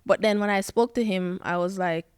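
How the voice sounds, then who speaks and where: Jamaican woman guest, smooth clear voice. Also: medium pitch, fairly quick, no room sound, clean studio sound. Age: 20s. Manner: animated storytelling, acts out quoted lines. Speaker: footballer guest. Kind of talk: podcast interview.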